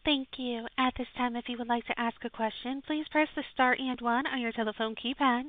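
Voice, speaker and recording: A woman's voice: on the high side, steady tone, brisk, no room sound. A woman reading scripted instructions as a conference call operator over a telephone line. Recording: dry, narrow telephone line.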